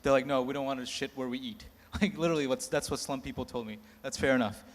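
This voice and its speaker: Candid self-mocking panelist in his thirties, mid pitch, reverb from a big hall. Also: quick pace. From United States. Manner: candid, self-mocking, laughs between lines. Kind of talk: candid anecdotal storytelling. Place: large conference hall.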